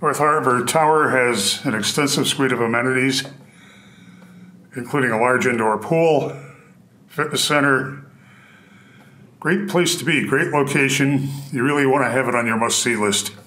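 A man narrating a walkthrough of an empty apartment, describing each room in an upbeat real-estate pitch. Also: moderate pace, long pauses, bright, forceful tone. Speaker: apartment tour host. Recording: echoey empty rooms.

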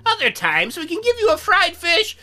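Man acting a young female imp, prim, halting, lonely, bright lively voice, game character. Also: very high-pitched, faint music behind, voice pushed up, full character voice. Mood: explaining.

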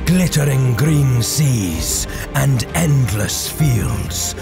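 A man playing a grave, impassioned warrior orator in a theatrical, measured audio drama monologue over music. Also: mid-range voice, lively intonation, creaky, full character voice. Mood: awed. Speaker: voice actor.

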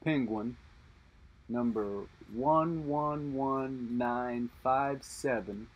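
A middle-aged man speaks at an unhurried, deliberate pace, thinking aloud about an old lighter in his hand. His voice sits at an ordinary middle pitch. He pauses and backtracks as he weighs what he sees. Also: dull audio. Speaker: lighter collector and reviewer. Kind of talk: unscripted show-and-tell narration.

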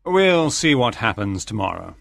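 A man playing a calm, wealthy gentleman in a dramatized audiobook, polite and unruffled. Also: on the low side, normal speed, creaky, no room sound, studio quality, heavily acted. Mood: composed. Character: Phileas Fogg, calm, composed wealthy gentleman.

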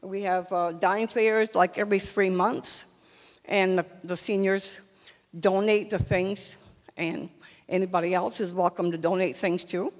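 A woman's voice: mid-range voice, steady tone, measured pace. An older woman speaking off the cuff at a town meeting, hesitant, with many fillers, into a handheld mic. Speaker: senior group representative. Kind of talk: unscripted remarks at a public meeting. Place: large meeting hall. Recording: long hall reverb.

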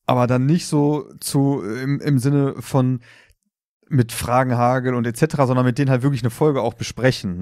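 A man's voice: medium pitch, a little mellow, no room sound, studio quality. A man co-hosting a podcast in casual chat, talking informally like an enthusiastic fan. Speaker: podcast co-host.

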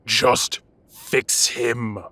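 A man playing a commanding, impatient but protective half-dragon mafia boss in an audio roleplay, with a rough voice and a bossy, scolding manner. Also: mid-range voice, little pitch movement, extremely slow, bright, forceful tone, no echo. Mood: concerned, firm.

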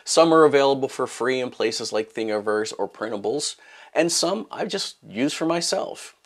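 A middle-aged man hosting a maker channel, talking to camera in a scripted explainer, starting phrases high and emphatic and dropping low at their ends, recorded close in his home workshop. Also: expressive, moderate pace. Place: home workshop room with purple lighting.

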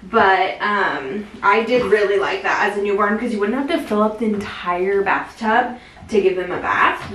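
A woman's voice: a bit bright, roomy sound, distant mic, slight background noise, low-quality recording. A young woman chatting casually to a handheld camera as a vlogger and new mom, gushing and talkative. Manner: gushing, chatty, talks to her baby in a high sing-song. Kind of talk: casual vlog chat. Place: store aisles, then home bathroom.

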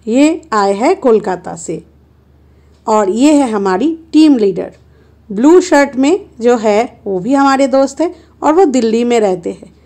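Woman travel vlogger, slow easygoing delivery, high-ish voice, chatty, handheld phone. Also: expressive, a little mellow. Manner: chatty, playful, unhurried. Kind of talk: casual travel vlog narration. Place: car and roadside stops.

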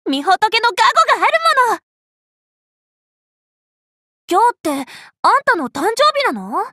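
A woman voicing a cheerful, bossy, scatterbrained young female Buddhist monk in an anime game, boastful and childlike in an expressive, exclamatory way. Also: very high-pitched, moderate pace, no room sound, clean studio sound, heavily acted. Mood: encouraging. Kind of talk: Japanese anime game character voice lines.

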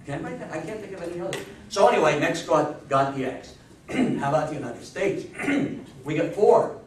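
A man's voice: fairly high pitch, lively intonation, fairly quick. A man in his sixties giving a history lecture in an emphatic, folksy way, prompting his listeners as he goes. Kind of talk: animated public history lecture with audience questions. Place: small meeting room with seated audience. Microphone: distant camera microphone. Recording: echoey room, distant microphone, faint noise.

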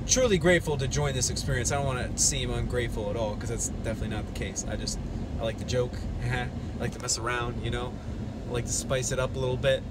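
A young man chatting casually to the camera while driving, rambling and joking with goofy outbursts, filmed at arm's length. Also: a little high. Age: young adult. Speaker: fishing vlogger. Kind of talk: casual vlog talk to camera. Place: inside a pickup truck while driving. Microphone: phone or action camera at arm's length. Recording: road noise from a moving car.

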